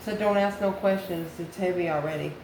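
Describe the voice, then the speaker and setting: A woman's voice: fairly low pitch, a little fast, a little mellow. Woman reading tarot in echoey, noisy room. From United States. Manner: musing aloud in short, repeated phrases with rhetorical questions. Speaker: tarot reader, channel host. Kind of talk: intuitive tarot card reading, addressing the viewer. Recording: echoey room with clear background noise.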